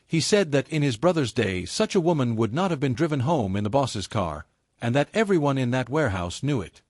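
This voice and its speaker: Male narrator for dramatic fiction; lively intonation, very dry recording. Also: mid-range voice, a little fast, no room sound. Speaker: audiobook narrator. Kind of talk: scripted dramatic story narration.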